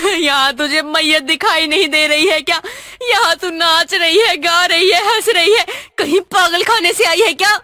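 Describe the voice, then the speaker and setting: A woman's voice: unhurried, sharp tone, dry recording, studio quality, exaggerated voice. A woman voicing an angry, scolding middle-aged auntie in a cartoon story. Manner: shrill scolding, harsh.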